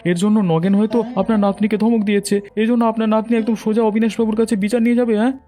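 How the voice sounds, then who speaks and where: Guy doing cranky cartoon villager, high voice, talks fast, brushing people off, music under it. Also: flat delivery, mellow, natural voice. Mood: irritated, dismissive. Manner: dismissive, insistent. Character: curt, irritated village man refusing to get involved. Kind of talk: dubbed cartoon dialogue. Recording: music bed behind the voice.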